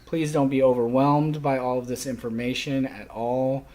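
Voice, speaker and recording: A man giving a spoken course orientation for a class, in halting stop-and-start phrases with stressed openings. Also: medium pitch, flat delivery, mellow.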